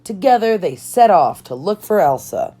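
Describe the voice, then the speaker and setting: A woman reads a children's story aloud in a low, mellow voice. Her intonation rises and falls in an animated, measured storytelling way, with soft music playing behind her. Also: husky. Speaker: storybook reader. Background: background music.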